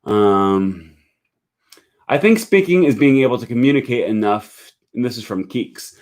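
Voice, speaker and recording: A man's voice: medium pitch, lively intonation, a little slow, a little mellow. An American man hosting a language-learning live stream, thinking aloud with frequent fillers and restarts as he reads viewer comments. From United States.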